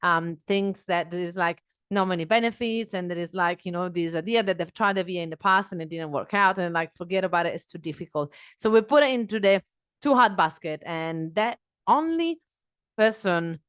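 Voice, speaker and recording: A woman in her thirties hosting a solo podcast on a headset mic in a small home office. She speaks English as a second language, talking in an earnest, animated way with many fillers and restarts. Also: on the low side, moderate pace, clear, resonant tone, no echo, clean studio sound. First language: not English. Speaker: podcast host.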